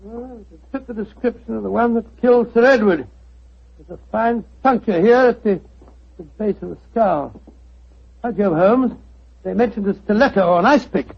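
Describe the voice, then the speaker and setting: An actor playing a hearty, slightly bumbling doctor sidekick in a 1940s radio drama. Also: male voice, high-pitched, normal speed, creaky, long pauses, husky, lo-fi sound, exaggerated voice. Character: bluff, eager doctor sidekick.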